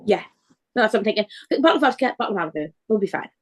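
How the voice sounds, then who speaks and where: A woman co-hosting a casual podcast chat, bubbly and quick to laugh. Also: mid-range voice, lively intonation. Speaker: podcast co-host.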